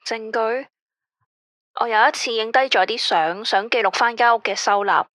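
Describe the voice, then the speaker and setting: A woman's voice: fairly high pitch, normal speed, a bit bright, no room sound, studio quality, natural voice. A woman playing a tense, weary caller. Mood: uneasy. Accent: Hong Kong Cantonese. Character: female caller, anxious about a controlling ex-husband. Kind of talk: radio drama acting.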